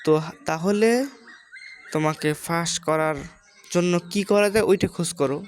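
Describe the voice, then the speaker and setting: A woman's voice: on the low side, unhurried, a little mellow, a little hiss or hum. A woman with a Bangladeshi accent giving informal moral advice in a didactic, questioning way, repeating her points.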